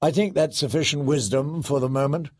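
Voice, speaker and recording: A man voicing a serious young lord commander in an audiobook, measured and dry in delivery. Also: fairly high pitch, fairly even intonation, darkish tone, lightly acted.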